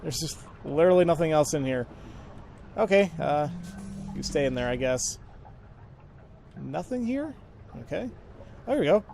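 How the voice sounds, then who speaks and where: A man's voice: on the high side, lively intonation, gravelly, a little mellow, slight background noise. Casual male gamer thinking aloud. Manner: informal, halting, thinking aloud. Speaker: let's play gamer. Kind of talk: casual video game commentary.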